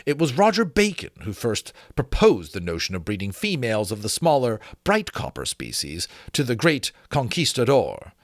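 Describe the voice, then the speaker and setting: पढ़कर सुनाता पुरुष, बहुत उतार-चढ़ाव भरी आवाज़, सूखी रिकॉर्डिंग. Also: male voice, mid-range voice, normal speed, few pauses, no echo, clean studio sound. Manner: expressive, emphatic reading. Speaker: host reading a novel aloud with his own asides. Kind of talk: dramatic audiobook-style reading with casual asides.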